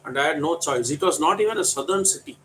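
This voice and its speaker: A man in his forties from Tamil Nadu with a Tamil accent, telling a frank personal story in English with pauses and fillers. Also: a little high, lively intonation, fairly bright tone, slight background noise. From Tamil Nadu, India. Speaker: coaching center teacher.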